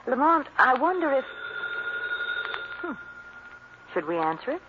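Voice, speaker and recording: A woman's voice: high voice, very animated, a little slow. An actress playing an attentive, concerned young woman, a sleuth's companion, in a 1940s-style radio drama with polished, inquisitive delivery. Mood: curious. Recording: noisy, dull old radio recording.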